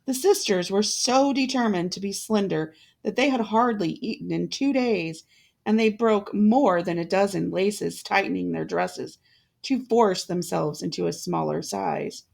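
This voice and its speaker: A woman narrating a fairytale in a measured, deliberate way, with a gravelly, creaky voice. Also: mid-range voice, darkish tone. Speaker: storyteller.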